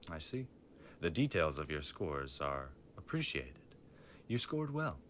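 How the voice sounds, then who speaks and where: Male actor as mafia boss father, very low voice, formal, coldly threatening, audio drama, audible background noise. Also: sing-song, a little slow, fairly bright tone, exaggerated voice. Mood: stern. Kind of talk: scripted audio roleplay.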